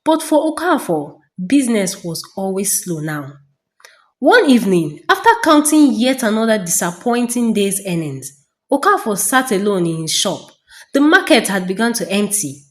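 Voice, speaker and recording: A woman narrating a folktale as a storyteller, in a dramatic, deliberate and suspenseful scripted style with pauses. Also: medium pitch.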